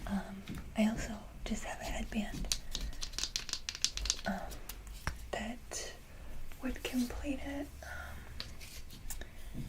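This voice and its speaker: Whispering woman, slow and halting. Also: fairly high pitch. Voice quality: whispered, breathy. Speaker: ASMR video creator. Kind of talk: softly whispered ASMR, casual talk to viewers. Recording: clearly noisy, hissy background.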